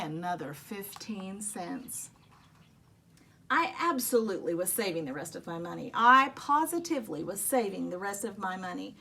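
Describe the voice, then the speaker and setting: A woman reading a children's picture book aloud expressively, telling it as a young boy, with dramatic emphasis and drawn-out rueful phrases. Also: high voice, fairly bright tone. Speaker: storybook reader. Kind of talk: expressive read-aloud of a children's story in a young boy's first person.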